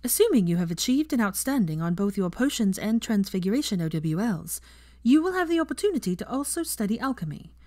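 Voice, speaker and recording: A woman voicing a young female teacher who lectures a class in a brisk, earnest, encouraging way with projected questions. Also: medium pitch, big pitch swings, mellow, no echo, studio quality, lightly acted. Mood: brisk, instructive.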